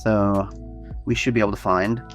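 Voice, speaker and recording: A man consulting on advertising, explaining in a relaxed, conversational way. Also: on the low side, normal speed, soft background music. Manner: relaxed, explanatory, uses y'all. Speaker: paid traffic consultant. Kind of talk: casual business conversation.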